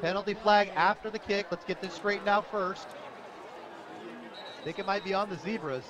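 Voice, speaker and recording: An American man doing live play-by-play of a football game as a radio announcer, excitable on the big plays. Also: high voice, moderate pace, a crowd in the background, background noise. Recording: dull lo-fi radio sports broadcast.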